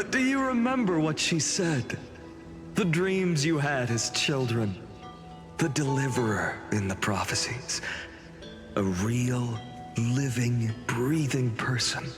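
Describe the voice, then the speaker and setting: An actor playing a gentle, weary male companion in a video game, speaking solemnly in a slow, measured, soft way. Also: mid-range voice, animated. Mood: wistful. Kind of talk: dramatic video game voice acting. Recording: studio voice over loud music.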